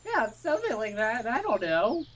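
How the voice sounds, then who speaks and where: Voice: medium pitch, sing-song, moderate pace, fairly bright tone, a little hiss or hum. A player in a group let's play, bantering casually and slipping into silly voices. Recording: music behind the voice.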